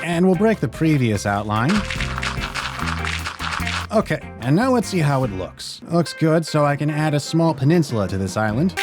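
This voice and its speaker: Lively man narrating a gaming video. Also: fairly high pitch, moderate pace, loud music behind the voice. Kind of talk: scripted gameplay narration.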